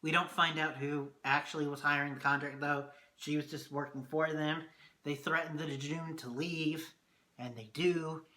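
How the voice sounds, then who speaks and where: A man reviewing a TV episode in a casual vlog, excitable and swearing often, his voice going hoarse. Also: a little high, normal speed. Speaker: TV review vlogger.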